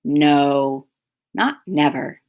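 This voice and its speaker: A woman reading a children's picture book aloud expressively, pausing to comment and explain to young listeners. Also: low-pitched, a little mellow.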